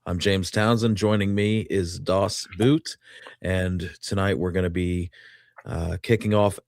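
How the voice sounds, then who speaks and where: Male show host, deep register, audibly under a cold, casual conversational delivery, very dry recording. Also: fairly even intonation, measured pace, no room sound. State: has a cold. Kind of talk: casual talk show discussion.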